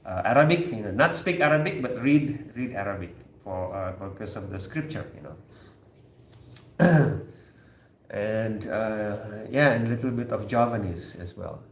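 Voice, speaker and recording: A man's voice: lowish voice, expressive, measured pace. Joking Indonesian man in an echoey room. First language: Sundanese. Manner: joking, teasing, many fillers and restarts. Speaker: host of a live chat stream. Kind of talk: casual live chat reading viewer comments.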